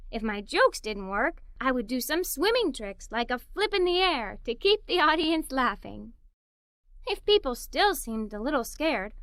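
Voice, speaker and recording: A woman reading a children's story aloud in the first person as a cheerful, imaginative little girl, with an expressive, playful delivery. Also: high-pitched, dry recording, studio quality.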